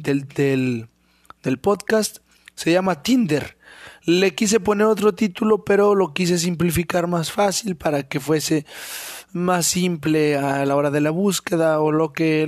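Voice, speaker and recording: A young man with a Mexican accent hosting a casual solo podcast, hoarse from a sore throat, rambling and swearing freely and clearing his throat often. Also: fairly high pitch, a little slow, no echo, clean studio sound. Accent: Mexican Spanish. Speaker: podcast host.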